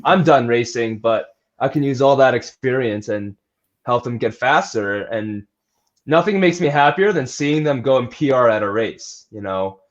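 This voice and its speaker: A man with an average-pitched voice talks casually as a coach being interviewed. He is earnest and conversational. The recording is slightly dull and lo-fi. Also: lively intonation, normal speed. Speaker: athletic trainer and strength coach being interviewed.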